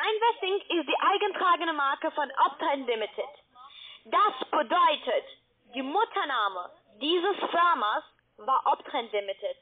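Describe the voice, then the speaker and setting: A woman call centre agent with a foreign accent, a non-native speaker, explaining things in a phone conversation. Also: high-pitched. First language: not German. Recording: tinny phone line.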